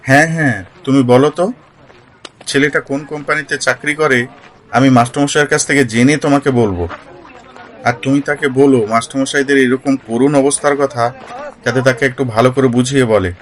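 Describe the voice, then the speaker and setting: A man's voice: mid-range voice, steady tone, a little fast, close to an everyday voice. A man voicing a concerned village father in a cartoon, sympathetic and lamenting, over very noisy sound with animals. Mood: earnest. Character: concerned village father, gossiping indignantly about an old teacher's plight. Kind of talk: Bengali cartoon dialogue.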